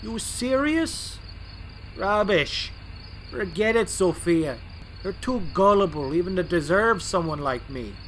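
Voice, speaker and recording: A man's voice: high voice, lively intonation, takes it slowly, fairly bright tone, slight background noise, heavily acted. A man playing a boastful, grouchy, easily offended switcher locomotive in a fan audio drama. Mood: dismissive, bitter. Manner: brash, indignant, boastful.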